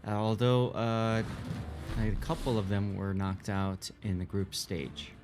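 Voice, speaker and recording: A man's voice: lowish voice, level tone, unhurried. Man casting an esports match over music. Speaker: esports shoutcaster. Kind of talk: live game commentary, conversational.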